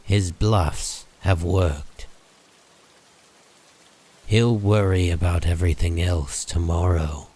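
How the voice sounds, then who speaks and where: A man narrating a dark comic book drama in a measured, solemn, deliberate way. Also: low-pitched, steady tone, husky, full character voice.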